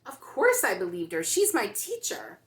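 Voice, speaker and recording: A woman's voice: medium pitch, huge pitch swings, moderate pace. Storybook little girl, voiced by a woman reader: hopeful, determined young dreamer. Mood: disappointed. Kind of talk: children's picture-book read-aloud.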